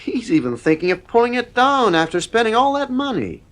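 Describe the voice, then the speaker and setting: A man's voice: very high voice, big pitch swings, a little fast, fairly bright tone, full character voice. An actor playing an easygoing, dismissive husband and father in a conversational radio drama.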